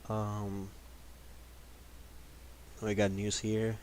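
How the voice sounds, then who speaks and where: A man with a deep voice hosting a live trading stream, talking in a calm, laid-back, casual way. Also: very slow, slight background noise. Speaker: host of a live trading session. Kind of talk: casual livestream market commentary.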